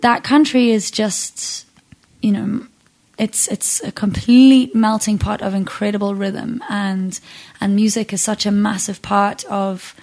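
A woman's voice: medium pitch, fairly even intonation, measured pace, darkish tone. A young woman from Zimbabwe, a singer-songwriter, talking casually in an interview, thoughtful and full of ums and restarts.

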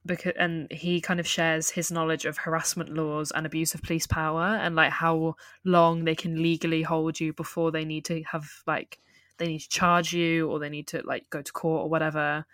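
A woman co-hosting a podcast, chatting casually and retelling film scenes in an animated way with laughs. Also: sits low, no room sound. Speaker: podcast co-host. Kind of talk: casual film discussion chat.

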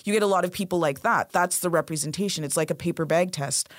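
A woman's voice: lowish voice, fast talker, dry recording, clean studio sound. A woman interview guest, talking in a direct, emphatic way. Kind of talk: interview conversation.

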